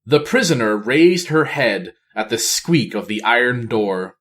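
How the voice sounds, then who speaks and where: Male audiobook reader for dramatic fantasy: measured, theatrical, unhurried pacing with wide swings of pitch. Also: animated, a bit bright. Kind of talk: dramatic fantasy novel narration.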